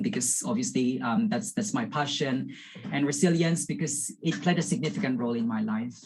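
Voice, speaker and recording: A man in his thirties from the Philippines with a Filipino accent, a podcast guest telling his story with many pauses and repeated words. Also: mid-range voice, poor audio. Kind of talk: casual interview talk.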